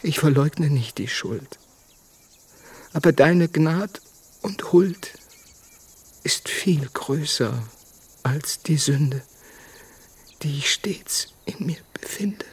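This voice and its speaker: An actress playing a penitent soul begging for mercy, half-whispering devotional verse in a radio drama. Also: female voice, sits low, expressive, drawn-out words, long pauses, husky, exaggerated voice. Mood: penitent, imploring.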